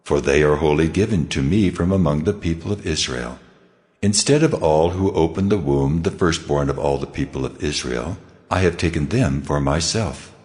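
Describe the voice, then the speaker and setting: A man's voice: very deep voice, moderate pace, darkish tone. A man playing God in a dramatized scripture reading, solemn and authoritative, giving commands. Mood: solemn, commanding. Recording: long cavernous reverb. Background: faint music.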